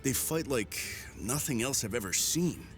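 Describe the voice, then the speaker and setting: An actor playing a grim, battle-worn mercenary commander in a video game, speaking in terse, measured lines. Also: male voice, mid-range voice, animated, close to an everyday voice. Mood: grim resolve. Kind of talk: cinematic game voice acting. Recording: music behind the voice.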